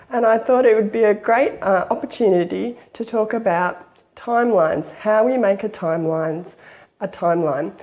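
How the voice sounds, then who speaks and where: A woman with a croaky, flu-hoarse voice, hosting a casual how-to vlog in a chatty, friendly way with an Australian accent. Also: medium pitch, dull audio. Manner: friendly, chatty, with small laughs and fillers. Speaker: memoir-writing channel host.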